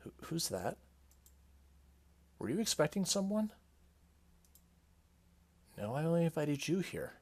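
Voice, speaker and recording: A man's voice: fairly high pitch, sing-song, measured pace, long pauses, a little mellow, pitched above the performer's own voice, heavily acted. Man reading as an uneasy youngster in a horror game. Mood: uneasy, questioning. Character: uneasy slumber-party youngster in a horror visual novel.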